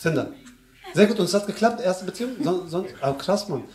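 A man in his thirties doing loud, teasing crowd work at a live stand-up show, slangy and laughing often, into a handheld mic. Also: high voice, lively intonation, a little fast. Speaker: stand-up comedian. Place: small comedy club stage with audience. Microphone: handheld stage mic. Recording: echoey club with audience noise.

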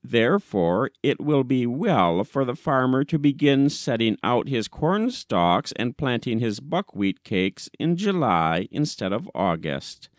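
Guy reading an old funny short story, takes it slow, dry close sound. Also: medium pitch, sing-song, dry recording. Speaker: audiobook narrator. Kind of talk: scripted narration of a classic humorous short story.

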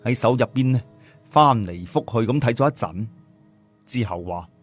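Husky male storyteller, lively pitch. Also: moderate pace, soft background music. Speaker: audiobook narrator. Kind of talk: dramatic storytelling narration.

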